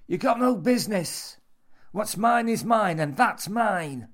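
Sour old man, high and forceful. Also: expressive, normal speed. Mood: barking, indignant. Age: older. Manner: barking, gruff, possessive. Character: sour, threatening old male club storeroom keeper. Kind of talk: audiobook character voice.

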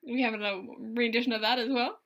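A young woman co-hosting a casual chat podcast, giggly and reading facts aloud haltingly. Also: fairly high pitch, fairly even intonation, fairly bright tone. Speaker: podcast co-host.

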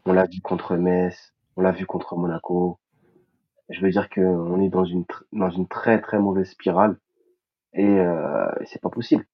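A man co-hosting a casual football podcast, speaking in a measured way and repeating words for emphasis. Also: deep voice, little pitch movement, highs cut off. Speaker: podcast co-host.

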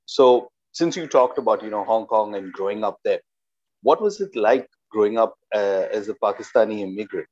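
Podcast host, deep voice, even questioning, dull lo-fi recording. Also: male voice, moderate pace. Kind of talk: interview questions.

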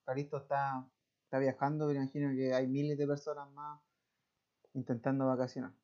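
A man's voice: medium pitch, flat delivery, a little fast, mellow. A young man with a Chilean accent, chatting casually and joking with frequent laughter. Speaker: podcast co-host. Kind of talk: casual chat. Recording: dull lo-fi call audio.

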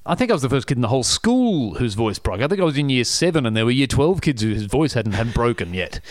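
A man's voice: medium pitch, sing-song, fast, no echo, studio quality. A middle-aged man telling stories in casual conversation, self-deprecating and laughing often, with many restarts.

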